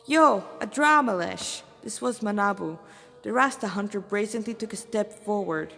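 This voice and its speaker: A woman's voice: mid-range voice, moderate pace, vocal fry, fairly bright tone, soft background music. Woman narrating a story in a reverberant room. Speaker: fan fiction author and reader. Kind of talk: read-aloud story narration.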